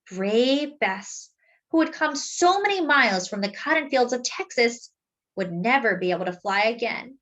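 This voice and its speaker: A woman reading a picture book aloud as a storyteller, with deliberate, dramatic phrasing and words drawn out for emphasis. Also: a little high, fairly bright tone, dull audio. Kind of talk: expressive children's read-aloud narration.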